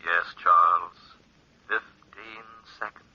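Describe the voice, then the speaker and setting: A man acting a sly inner voice that prompts a killer in an old radio horror play, measured and taunting. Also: low-pitched, steady tone. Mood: sly, coaxing. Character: sly inner voice reminding a killer of his plan. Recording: muffled, hissy vintage radio recording.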